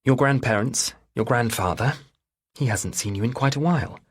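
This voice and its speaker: Man voicing a tentative, coaxing mother, lifted pitch. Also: moderate pace, slightly rough, close to an everyday voice. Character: hesitant, coaxing wife and mother of a teenage girl.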